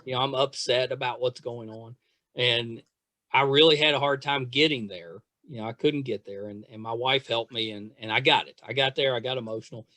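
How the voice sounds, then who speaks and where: A man's voice: mid-range voice, fairly even intonation, a little fast, pushed and bright, no echo. A man hosting a casual interview podcast, chatting in an effusive, rambling, self-deprecating way. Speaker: podcast host.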